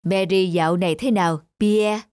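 A man voicing a kindly, confident old male professor in an animated dub, with an avuncular manner. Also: high-pitched, moderate pace, soft background music, natural voice. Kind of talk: animated cartoon dub dialogue.

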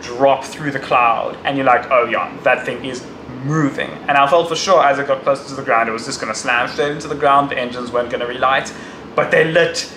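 A man's voice: medium pitch, roomy sound. Man casually recapping a trip. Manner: excited shouting and cheering during launch; casual retelling with fillers. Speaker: vlogger. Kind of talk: casual travel vlog. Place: outdoors on a crowded beach. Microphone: handheld camera. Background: crowd cheering and outdoor noise.

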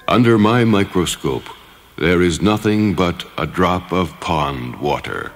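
Man acting out slow, theatrical folk-tale characters. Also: low voice, soft background music. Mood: calm, correcting. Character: fearful man and calm sage in folk tale.

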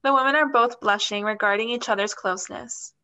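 Bright-voiced young woman reading stage directions. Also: on the high side, a little fast. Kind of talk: scripted narration.

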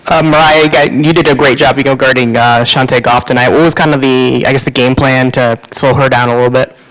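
A man asking questions quickly as a reporter at a press conference. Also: medium pitch, fairly even intonation, a bit bright, rough recording.

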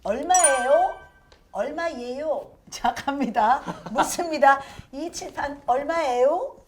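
A woman's voice: sits high, takes it slowly, a little hiss or hum. A woman hosting a casual studio talk show, leading a spelling quiz with upbeat prompts. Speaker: host.

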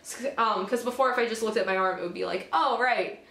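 A woman's voice: on the high side, fairly quick, vocal fry, a bit bright, echoey room. Chatty teen girl with a cold. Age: teens. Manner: chatty, lots of fillers and self-corrections. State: has a cold, slightly hoarse. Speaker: vlogger. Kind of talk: casual talking-head vlog. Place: small indoor room at home. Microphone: camera at close range.

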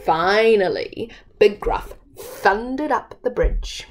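A woman's voice: mid-range voice, animated, slow pace, background noise. A woman in her thirties reading a children's story aloud expressively, as a storyteller at home. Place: small room at home.

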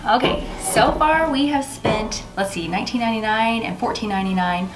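A woman's voice: a little high, a little fast, music in the background, poor audio. A woman in her forties hosting a chatty home decor vlog, talking through a table setting in her dining room with a camera across the table. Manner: chatty, bubbly, self-teasing. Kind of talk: casual how-to vlog.

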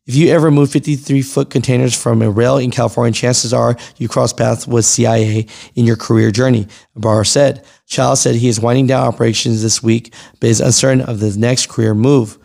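A man's voice: medium pitch, steady tone, fairly quick, vocal fry, few pauses, a little mellow. A man in his thirties hosting an informal news report, conversational and emphatic, reading and commenting into a close microphone. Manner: conversational, emphatic, often tags points with "right" and "you know". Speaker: host of a trucking news channel. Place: small furnished room at home, indoors. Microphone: close broadcast microphone on a boom arm.

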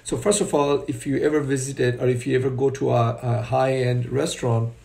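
A man's voice: mid-range voice, flat delivery, brisk, creaky, darkish tone, reverberant. A man in his forties talking casually to a webcam from a room at home, loose and conversational with many fillers and restarts. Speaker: vlogger. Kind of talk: casual spoken commentary. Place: home room with curtains, indoors.

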